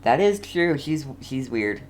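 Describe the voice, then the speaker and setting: A woman's voice: rumbling low, very animated, slow, a little mellow, faint music behind. A woman giving casual live gameplay commentary as a streamer, reacting in an informal, blunt way. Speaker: game streamer.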